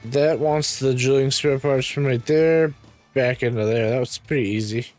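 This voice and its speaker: A man with a raspy voice, casually commenting to himself as he plays a game, clearing his throat now and then. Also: medium pitch, fairly even intonation, moderate pace, darkish tone, faint music behind. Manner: muttering to himself while playing, frequent ums and throat-clearing. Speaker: game streamer.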